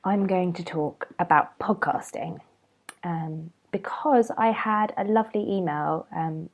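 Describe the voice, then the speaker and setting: A woman's voice: fairly low pitch, unhurried. A young British woman chatting casually and informally as a solo podcast host, rambling a little, nasal and congested with a cold. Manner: rambling, informal, self-deprecating.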